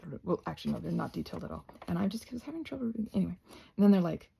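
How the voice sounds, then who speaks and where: A woman's voice: on the low side, sing-song, very fast, gravelly, dark tone, a little hiss or hum. A North American woman chatting casually while crafting, playful and scatterbrained, thinking aloud and often trailing off into murmurs. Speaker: craft and ASMR vlogger.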